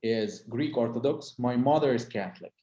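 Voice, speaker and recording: A man's voice: medium pitch, moderate pace, mellow, reverberant, highs cut off. A middle-aged man, a tour guide whose first language is Arabic, talking casually with lots of "uh" and restarts. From Holy Land. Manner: hesitant, many fillers and restarts. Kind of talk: casual conversation.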